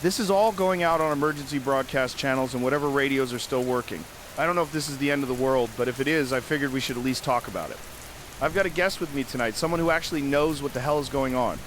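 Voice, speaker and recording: An actor playing a weary, shaken survivor hosting an emergency radio broadcast in a drama, with plainspoken, informal, uneasy delivery. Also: male voice, medium pitch, fast, fairly bright tone, a little hiss or hum, natural voice.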